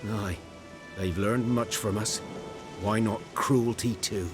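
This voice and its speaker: A man's voice: on the low side, fairly even intonation, slow, over music. An actor playing a wary, plain-spoken village huntsman in a video game, with a folksy, brooding manner.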